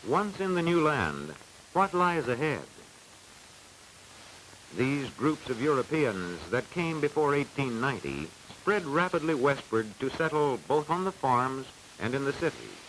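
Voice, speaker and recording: An American man reading scripted narration for a 1940s educational film in a formal, measured, declamatory way, on a hissy old soundtrack. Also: medium pitch, very animated, a bit bright, low-quality recording.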